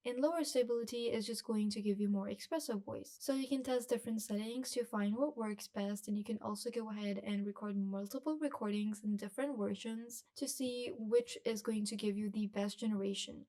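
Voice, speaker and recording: A woman narrating a scripted software tutorial, explaining step by step with emphatic phrases. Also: fairly high pitch. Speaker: tutorial presenter.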